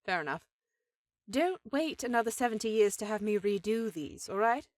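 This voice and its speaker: A woman's voice: mid-range voice, lively intonation, normal speed, dry recording, close to an everyday voice. A woman playing a powerful elven sorceress in a fantasy audio drama, an encouraging sister who talks in an earnest, affectionate way. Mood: cheerful, helpful.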